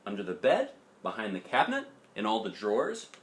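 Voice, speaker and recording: A man's voice: fairly low pitch, wildly swooping pitch, fairly quick. A man voicing a concerned storybook mother who asks anxious questions. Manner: gasping, questioning.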